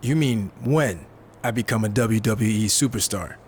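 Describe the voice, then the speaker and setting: A man's voice: lowish voice, moderate pace, a little mellow, a little hiss or hum, lightly acted. A man voicing a self-assured, sardonic young rookie wrestler in a video game cutscene. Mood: casual. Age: young adult. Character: cocky, wisecracking young male rookie wrestler.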